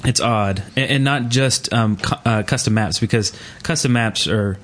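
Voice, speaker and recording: A man hosting a casual gaming podcast, talking in a halting, rambling way. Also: on the low side, a bit bright. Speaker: podcast host.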